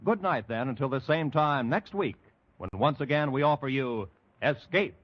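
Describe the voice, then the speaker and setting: A man announcing the credits and next week's program in a measured, formal delivery. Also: mid-range voice, lively intonation. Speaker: radio announcer. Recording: muffled old radio broadcast.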